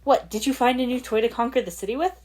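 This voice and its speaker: A woman's voice: on the high side, fast, darkish tone, pitched above the performer's own voice, close to an everyday voice. A woman voicing an angry, hurt teenage boy ninja in a story, snapping bitingly at his father. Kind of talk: fan fiction audiobook dialogue.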